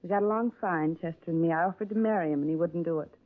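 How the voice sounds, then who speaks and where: A woman's voice: lowish voice, racing, lightly acted. An actress playing a middle-aged woman suspect who denies everything and then confesses to murder, in a 1950s radio drama. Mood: confessing. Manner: defensive, denying, then breaking into flat confession. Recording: narrow phone-like old radio transcription.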